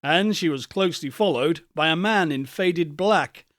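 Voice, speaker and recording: A man reading a classic ghost story aloud as an audiobook, in a measured, expressive storytelling manner. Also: on the high side, no echo, clean studio sound. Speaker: audiobook narrator. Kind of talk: scripted narration of a classic novel.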